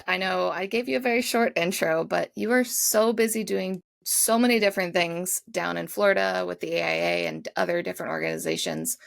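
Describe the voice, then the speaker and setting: A woman's voice: fairly low pitch, creaky, fairly bright tone, no room sound. A woman who hosts an interview channel, opening with a friendly scripted intro and asking questions over a video call. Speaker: interview host. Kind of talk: podcast interview hosting.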